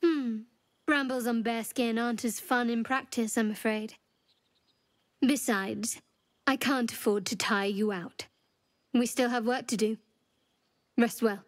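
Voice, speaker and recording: A woman's voice: a little high, steady tone, a little slow, pauses often, dry recording, natural voice. Wry, guarded adventurer played by a woman, dry recording. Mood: wry, teasing. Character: wry, guarded young female adventurer companion. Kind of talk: video game character acting.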